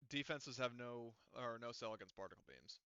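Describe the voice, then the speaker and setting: A man runs a tabletop roleplaying game in a casual, improvised way. His voice is clear and bright, and he thinks aloud in halting phrases. The audio is dry and a little dull. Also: medium pitch, dry recording.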